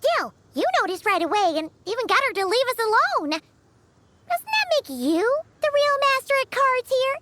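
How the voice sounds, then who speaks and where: A woman voicing a tiny, excitable, childlike fairy girl companion in a video game, with a squeaky, blunt, nosy manner. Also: moderate pace, background noise. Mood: nosy, pouty. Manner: squeaky, blunt, nosy, third-person self-reference. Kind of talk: scripted game character dialogue. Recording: studio voice over prominent music.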